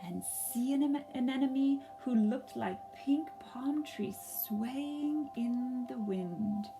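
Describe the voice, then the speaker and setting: A woman reading a story aloud for young children, gently and expressively, pausing to ask them questions. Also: high voice, over music.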